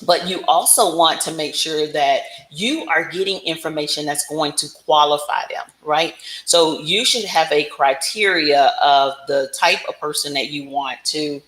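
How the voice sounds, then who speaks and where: A woman's voice: low-pitched, unhurried, fairly bright tone. A woman giving casual instructional talk as a business coach, conversational and often checking in with the listener. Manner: conversational, frequent check-in tags like "right?". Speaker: business coach presenting a livestream. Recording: roomy, echoing room sound.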